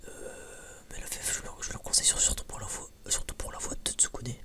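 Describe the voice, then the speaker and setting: An ASMR creator whispering in a breathy, unvoiced voice, rambling informally and hesitantly as if chatting to viewers. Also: brisk.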